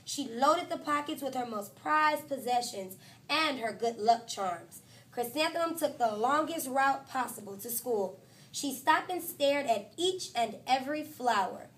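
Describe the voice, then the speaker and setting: Teacher-type woman with a high voice reading a storybook to kids, lively and expressive. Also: a little slow. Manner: expressive, voices the characters and talks to listeners. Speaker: teacher reading a picture book aloud. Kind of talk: children's storybook read-aloud.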